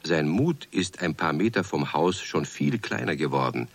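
A man playing the narrator of a children's radio play, telling the story in a calm, kindly way. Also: extremely low pitch, moderate pace, no echo, exaggerated voice. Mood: calm storytelling.

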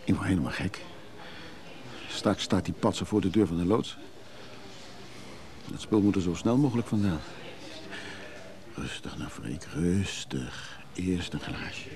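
A man's voice: deep voice, measured pace, long pauses, a little mellow. A man playing a weary, evasive, henpecked husband in a radio play, hesitant and on edge. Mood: agitated.

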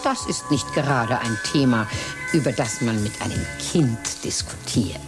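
A woman's voice: very deep voice, lively intonation, normal speed. An actress voicing a worldly, cynical mother figure who talks in a dry, wry drawl with whispered asides, over music. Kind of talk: radio play acting and first-person narration. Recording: music behind the voice.

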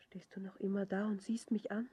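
An actress playing a despairing, devoted young bride in a poetic radio drama, speaking in halting whispers. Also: female voice, medium pitch, little pitch movement, mellow. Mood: anguished, resigned. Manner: breathy, halting, whispered verse delivery. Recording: dull lo-fi radio recording.

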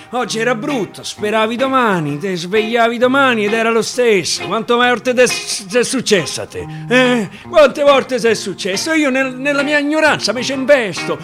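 A man with a Roman accent reciting a dialect monologue theatrically, swinging between hushed murmurs and loud declaiming. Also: very high voice. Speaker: actor reciting a dialect narrative poem. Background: acoustic guitar music.

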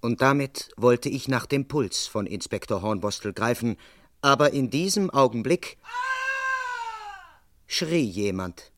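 An actor playing a dutiful London police constable in a crime radio play, speaking in a measured, formal, precise and officious way. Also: male voice, medium pitch, lively intonation, fairly bright tone, no echo, clean studio sound. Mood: measured, tense. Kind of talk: German crime radio play, first-person narration and dialogue.

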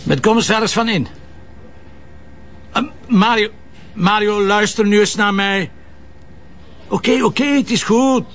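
A man's voice: high voice, measured pace, pauses often, fairly bright tone, highs cut off, slight background noise. A man with a Flemish accent playing a headstrong, impatient police commissioner in a TV crime drama, speaking bluntly and emphatically. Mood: pleading, placating. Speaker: lead actor in a police series. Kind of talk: naturalistic TV crime drama acting.

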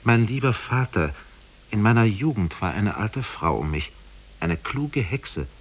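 A man's voice: on the low side, animated, measured pace, breathy edge, exaggerated voice. A man voicing a proud, defiant young sorceress princess in a solemn, declaiming manner in a radio fairy tale. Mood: earnest.

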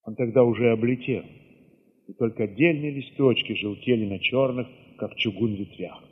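A man with a husky voice reads a literary first-person story theatrically, moving between hushed passages and sudden swells. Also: mid-range voice, normal speed. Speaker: narrator reading an audio play. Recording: reverberant, slightly dull sound.

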